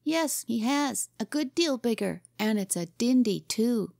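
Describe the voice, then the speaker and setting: A woman's voice: fairly high pitch, big pitch swings, slow pace, gravelly, clean studio sound, pitched above the performer's own voice, full character voice. A woman voicing a glib, loyal little boy sidekick in a storybook, agreeable and eagerly echoing. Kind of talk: storybook character reading.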